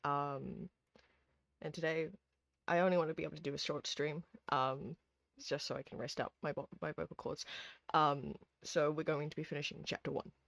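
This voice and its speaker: A tired, hoarse streamer who chats casually with viewers while replaying a horror visual novel, rambling with lots of ums and restarts. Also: medium pitch, steady tone, moderate pace, a bit bright, dry recording. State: hoarse, sleepy. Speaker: streamer host.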